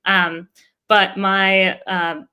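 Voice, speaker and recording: A woman's voice: medium pitch, flat delivery, very slow, sharp tone, smooth. A young woman from Russia whose first language is Russian, a podcast guest telling her family story earnestly and openly, with many fillers. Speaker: guest, startup co-founder. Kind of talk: podcast interview, personal story.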